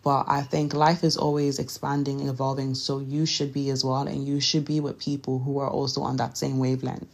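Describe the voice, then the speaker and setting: A woman's voice: very deep voice, little pitch movement, a little fast, hardly any pauses, a little mellow. A young woman from Nigeria with a Nigerian accent, talking casually and earnestly to listeners about motivation, direct and encouraging with the odd self-correction. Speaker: podcast host.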